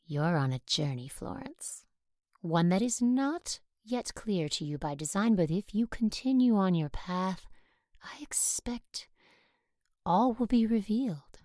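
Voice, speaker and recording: Stern convent sister for audio drama, female actor. Restrained authority, solemn, very slow delivery, dry recording. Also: mid-range voice, expressive, dark tone, dry recording, close to an everyday voice. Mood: solemn, measured. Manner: measured, solemn.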